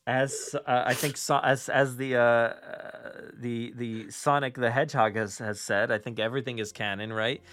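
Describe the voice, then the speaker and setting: A man hosting a podcast in a casual, rambling, halting way. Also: mid-range voice, fairly even intonation, fairly bright tone, no echo. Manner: halting, repetitive, rambling delivery. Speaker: podcast host.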